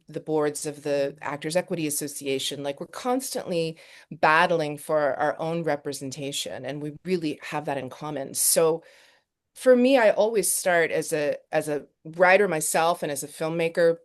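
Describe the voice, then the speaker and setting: A woman from Texas, a film director and actress, answering interview questions with quick restarts and repeated words. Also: low-pitched.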